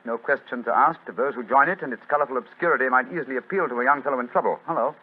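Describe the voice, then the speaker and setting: An actor playing an incisive English gentleman detective with a British accent and a crisp, authoritative manner, in 1940s radio style. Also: medium pitch, rapid-fire, natural voice. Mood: observant, musing. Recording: muffled old-time radio recording.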